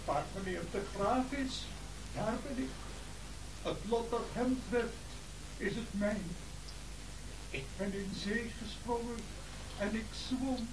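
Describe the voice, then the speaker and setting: A man's voice: high-pitched, takes it slowly, pauses often. A male actor playing a devoted, servile old lackey in a radio play, with a fawning, emotional manner. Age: older. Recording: distant, echoey, noisy old radio recording.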